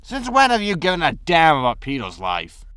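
A man's voice: fairly high pitch, wildly swooping pitch, normal speed, fairly bright tone, clean tone, no echo. Flippant, greedy space captain played by a man, dry sound. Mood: dismissive. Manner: flippant, self-excusing. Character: greedy, breezy disgraced male space haulage captain. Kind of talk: science fiction comedy audio drama acting.

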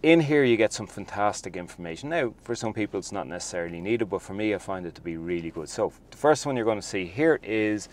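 Enthusiastic deep-voiced car reviewer. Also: male voice, lively intonation, quick pace, few pauses. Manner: chatty and enthusiastic, addresses viewers as folks. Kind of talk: casual video walkthrough. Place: inside a parked car.

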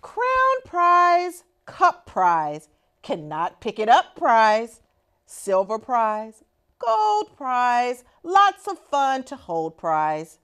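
A middle-aged woman reads a picture book to children. Her fairly high voice moves very slowly and theatrically, with wide swings in pitch and emphasis on key words. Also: wildly swooping pitch, a bit bright, clean tone. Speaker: school superintendent reading aloud to children. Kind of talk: expressive children's picture-book read-aloud.

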